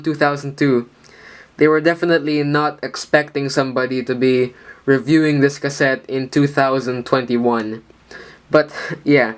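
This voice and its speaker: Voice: medium pitch, slight background noise. A speaker casually reviewing items on camera as the channel host, thinking aloud in a rambling, hesitant way with lots of fillers and restarts. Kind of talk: casual hands-on product review.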